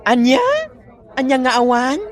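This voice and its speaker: A man's voice: very high-pitched, flat delivery, measured pace. A man with an Ilocano accent playing a sassy, grumbling parlor employee in a comedy drama. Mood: complaining.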